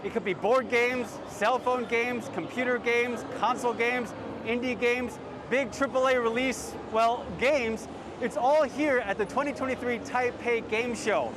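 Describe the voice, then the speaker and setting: A man reporting a light feature story in a playful, animated way. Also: very high voice, unhurried, background noise.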